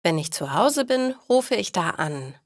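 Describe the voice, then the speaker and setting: Woman acting cheerful storybook woman, honest, musing, slow, expressive pitch, careful diction. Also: dry recording, clean studio sound. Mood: surprised, caring.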